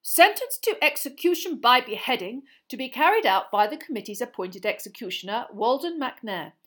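Woman playing reedy pompous official, loud and formal. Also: a little high, very animated, normal speed, pitched above the performer's own voice. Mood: officious. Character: reedy officious male committee member.

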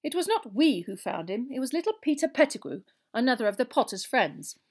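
A pompous, middle-aged man of government played by a woman narrator in a storybook. He speaks gravely and officiously in a measured, fairly high voice. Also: very animated.

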